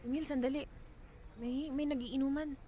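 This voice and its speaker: A woman's voice: high-pitched, fairly even intonation, normal speed, mellow, a little hiss or hum, close to an everyday voice. A woman playing a timid, worried newlywed wife in a radio drama, pleading nervously and hesitantly. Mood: frightened.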